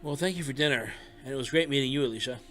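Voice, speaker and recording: A man's voice: mid-range voice, rapid-fire, pushed and bright, faint music behind, close to an everyday voice. A young man playing an impatient, questioning academy recruit in an audio drama. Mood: polite.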